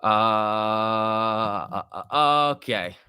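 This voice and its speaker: Bright-voiced young man livestreaming. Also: on the low side, extremely slow, clean tone, no echo, clean studio sound. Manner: informal, joking, bantering with viewers. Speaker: livestream host. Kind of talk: casual livestream chat about stock trading, reading out and answering viewer comments.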